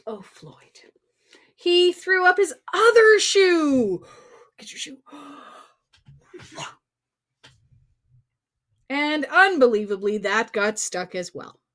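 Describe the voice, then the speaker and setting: High-pitched, playful woman storyteller for children. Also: big pitch swings, very slow, pauses often, a bit bright. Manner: playful, exaggerated expression, addressing children directly. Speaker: children's storyteller and singer hosting a livestream. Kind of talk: animated read-aloud and chat for young children.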